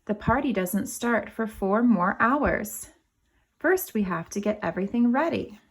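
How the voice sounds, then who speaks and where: A woman's voice: mid-range voice, expressive, measured pace, husky. A woman voicing a cheerful, organised mother in a children's storybook, giving instructions to her family. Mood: amused firm.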